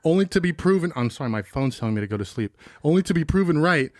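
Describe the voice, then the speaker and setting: A man's voice: a little high, animated, quick pace, darkish tone, dry recording, studio quality. A man co-hosting a podcast, reviewing a movie in rambling, joking casual chat. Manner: rambling, jokey, filler-heavy. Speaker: podcast co-host.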